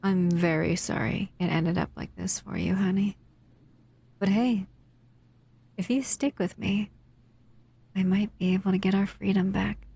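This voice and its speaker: Woman voicing a husky shadow monster. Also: mid-range voice, fairly even intonation, measured pace, pauses often, mellow. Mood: calm, confiding. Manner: soothing, intimate, often whispering. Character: captive female shadow monster, protective and affectionate. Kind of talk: audio roleplay to a listener.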